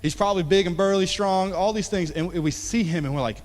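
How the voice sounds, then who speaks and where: A man in his late twenties preaching a sermon as pastor, talking conversationally and swinging from emphatic to quiet. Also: high-pitched, brisk. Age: 20s. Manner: conversational, rising to emphatic then dropping soft. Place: church sanctuary. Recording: long church hall reverb.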